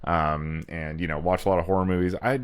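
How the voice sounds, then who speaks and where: A man's voice: low voice, flat delivery, brisk, clear, resonant tone, no room sound, clean studio sound. A man chatting casually and informally as a podcast co-host, with some swearing. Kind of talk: casual chat about horror movies.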